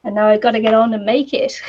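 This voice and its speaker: A woman with a New Zealand accent chatting casually on a live craft stream, her voice croaky today, rambling with lots of ums and little laughs. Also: fairly high pitch, fairly even intonation, a little fast. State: croaky voice from the heat. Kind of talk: casual chat while crafting. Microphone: computer or camera mic with a fan nearby. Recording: slightly dull lo-fi sound.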